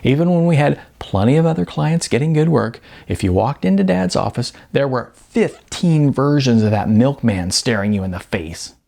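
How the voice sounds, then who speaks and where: Brash middle-aged female talent agent played by a man, wisecracking and emphatic, swooping pitch, creaky edges, audiobook dialogue. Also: expressive, moderate pace, hardly any pauses, warm tone. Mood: chatty, animated.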